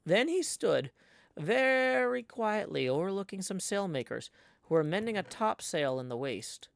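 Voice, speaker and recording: A restrained young sailor narrator with a Cape Cod accent, as a streamer puts him on. He tells the story in an even, measured way. The sound is close and dry. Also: medium pitch, creaky, dry recording. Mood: calm.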